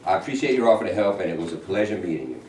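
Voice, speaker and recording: Guy playing practical union boss, measured, lowish voice, stage reading, roomy and a bit distant. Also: sing-song, darkish tone. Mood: firm. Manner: measured, plain-spoken. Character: pragmatic, measured Southern union president. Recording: echoey room, distant mic, faint noise.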